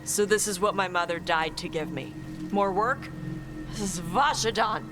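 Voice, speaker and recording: A terse, blunt young warrior from a fantasy video game acted by a man. The voice sits a bit high and talks in short casual bursts, with music clearly playing behind. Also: moderate pace, natural voice. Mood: frustrated. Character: blunt, gruff young dragon-blooded Qunari warrior, exasperated.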